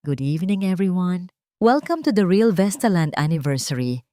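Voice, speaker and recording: A woman with a Filipino accent playing a wronged wife turned cold corporate queen in an audio drama, speaking firmly and deliberately. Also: fairly low pitch, big pitch swings, dark tone, no room sound, clean studio sound. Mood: commanding, cold. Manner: firm, authoritative, deliberate.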